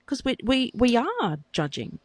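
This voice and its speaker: A woman's voice: fairly high pitch, huge pitch swings, measured pace, no room sound. A woman co-hosting a podcast in casual chat, playful and teasing. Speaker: podcast co-host.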